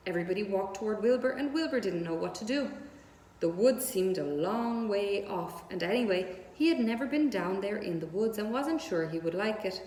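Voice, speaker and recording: A woman's voice: medium pitch, expressive, moderate pace, a little mellow. A woman reading a children's story aloud as narrator. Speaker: story reader. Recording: echoey room with long reverb.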